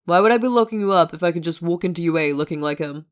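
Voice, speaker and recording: A woman voicing a calm, stubborn man who talks in a dry, matter-of-fact way in an audio story. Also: on the low side, rapid-fire, lightly acted. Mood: smug, lying.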